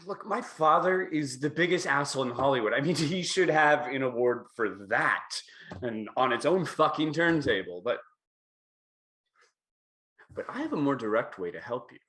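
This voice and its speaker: A man's voice: medium pitch, expressive, moderate pace, a bit bright, natural voice. A man playing a bitter, exasperated son of a Hollywood mogul, stammering and sardonic in a comedy table read. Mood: bitter, frank.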